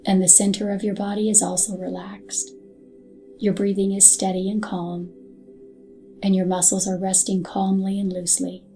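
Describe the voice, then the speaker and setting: A woman narrating a scripted hypnotic guided meditation in a soothing, soft and unhurried manner. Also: medium pitch, flat delivery. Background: background music.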